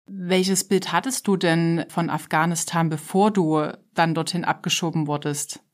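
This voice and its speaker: A young adult woman hosting a podcast, reading a measured, carefully scripted introduction and asking interview questions. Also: lowish voice, steady tone. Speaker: podcast host.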